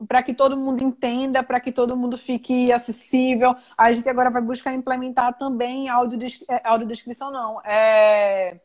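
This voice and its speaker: Young woman, Pernambuco accent, animated, filler-heavy, fairly high voice. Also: brisk, hardly any pauses. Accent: Pernambuco Portuguese. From Recife, Brazil. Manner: animated, talkative, many fillers and restarts. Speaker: guest, science communicator and entrepreneur. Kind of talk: video call interview.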